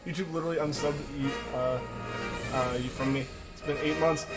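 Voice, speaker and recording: A man's voice: fairly high pitch, steady tone, fairly quick. Young man chatting casually, slight cough. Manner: rambling, filler-heavy, repeats himself. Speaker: streamer. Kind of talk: casual live-stream chat with viewers. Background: music playing underneath.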